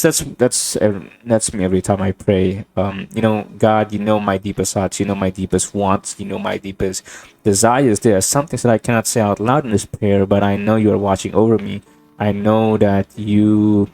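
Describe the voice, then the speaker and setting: Soft-spoken young man, halting and reflective. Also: lowish voice, gravelly, dry recording. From Indonesia. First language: Indonesian. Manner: thoughtful, halting, with many restarts and fillers. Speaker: gaming vlogger. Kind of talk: rambling reflective monologue over gameplay.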